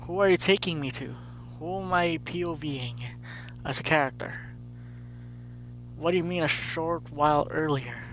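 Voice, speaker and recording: A man's voice: a little high, normal speed, fairly bright tone, faint music behind, rough recording. A man live streaming a game, reacting casually and off the cuff with repeated questions.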